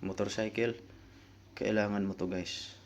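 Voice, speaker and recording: A man's voice: fairly low pitch, level tone, unhurried, slight background noise. A man talking casually as a vlogger, explaining things step by step and addressing his viewers informally. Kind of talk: casual explainer vlog talk.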